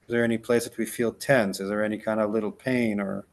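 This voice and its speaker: A rabbi whose first language is Hebrew, teaching a casual class and then leading a slow, gentle guided meditation, full of restarts and "right?" checks. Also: male voice, on the low side, little pitch movement. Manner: halting, many restarts and "like", "right?".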